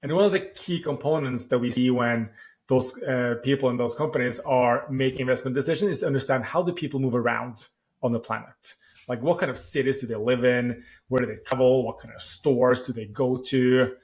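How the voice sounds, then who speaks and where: A man in his forties from Norway with a Norwegian accent, a founder answering podcast interview questions thoughtfully, often pausing with "uh" and restarting phrases. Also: medium pitch, a little mellow. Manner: thoughtful, with frequent "uh" pauses and restarts. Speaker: startup founder.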